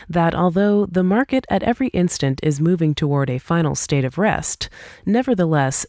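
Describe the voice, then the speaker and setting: A woman reads a scripted essay as an audiobook narrator, in a measured, clearly articulated way. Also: deep voice, dark tone, dry recording, studio quality. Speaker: audiobook reader.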